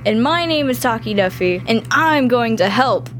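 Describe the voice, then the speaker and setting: A woman voicing a vengeful little talking teddy bear in an audio drama, with squeaky, cutesy menace. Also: expressive, moderate pace, fairly bright tone, a little hiss or hum. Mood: gleeful vengeance.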